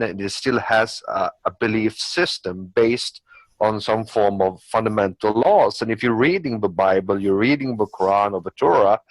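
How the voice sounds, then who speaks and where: A man's voice: on the low side, dry recording, low-quality recording. A man who is not a native English speaker, arguing his opinions in an unscripted discussion in a rambling, repetitive way. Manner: rambling, repetitive argument with hypothetical examples. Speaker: panelist.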